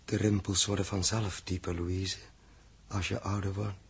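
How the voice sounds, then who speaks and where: An actor playing a troubled, uneasy man who mutters haltingly and repeats himself, in a 1960s radio drama. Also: low-pitched, flat delivery, gravelly, husky, heavily acted. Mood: subdued. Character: troubled, hesitant grown man Joost, uneasy space traveller. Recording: muffled-voiced old 1960s radio recording.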